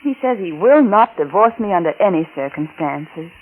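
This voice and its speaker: An actress playing a scheming, adulterous wife who is coolly manipulative and falsely tender, in a 1940s radio drama style. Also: female voice, lowish voice, expressive, moderate pace. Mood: pleading, manipulative. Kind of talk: 1940s radio drama acting with confessional narration. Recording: old lo-fi radio recording with music.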